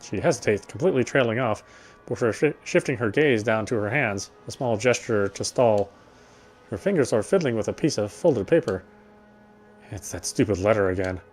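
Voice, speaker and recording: A man's voice: fairly low pitch, expressive, normal speed, faint music behind. A man reading visual novel narration aloud, unpolished, with occasional stumbles and self-corrections.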